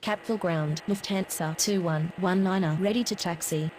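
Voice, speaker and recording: A woman's voice: lowish voice, fairly even intonation, normal speed, a little mellow, slight background noise, natural voice, poor audio. A woman playing a businesslike airport radio operator in a simulator game, with clipped, formal, scripted phraseology. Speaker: air traffic radio voice.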